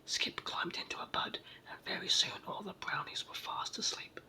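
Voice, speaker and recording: A person with a sore throat and a cold, whispering a children's story in a raspy, froggy voice as ASMR narration. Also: moderate pace. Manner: hushed whispering throughout.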